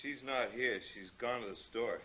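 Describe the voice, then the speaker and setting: A man's voice: mid-range voice, moderate pace, pushed and bright, slightly rough. An actor playing a nervous, menacing man with a guilty secret, stammering and evasive, in 1940s radio suspense style. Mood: evasive, guarded. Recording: dull, band-limited 1940s radio recording.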